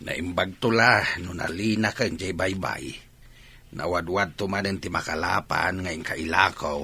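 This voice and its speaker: Male actor as tired fisherman father, Ilocano accent, radio drama, raspy, low. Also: unhurried, bright, forceful tone, exaggerated voice. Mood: tired, patient. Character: weary fisherman father of a poor village family.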